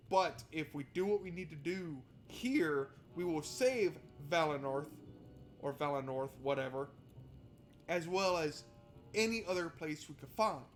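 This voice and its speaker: Higher-voiced man commentating over game music. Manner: informal, sweary, talks to himself. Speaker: game streamer. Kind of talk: casual let's-play commentary, reading game text aloud.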